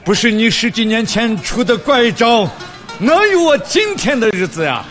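A man's voice: very high voice, big pitch swings, moderate pace, a bit bright, faint music behind, exaggerated voice. A man acting a plain-spoken, cautious farmer in a radio drama, earnest and hesitant. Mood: grateful. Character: plain-spoken, grateful male jujube farmer, cautious but canny.

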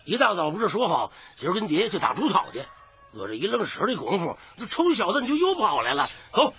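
A man's voice: high-pitched, wildly swooping pitch, fast, fairly bright tone, breathy edge, exaggerated voice. A man playing a stubborn peasant father in a radio drama, blunt and earthy. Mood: scolding. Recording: dull lo-fi recording, faint background noise.

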